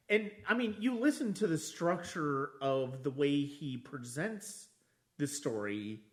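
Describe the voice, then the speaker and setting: A man hosting a casual podcast discussion, speaking in halting, broken phrases as he thinks aloud. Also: on the high side. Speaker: podcast host.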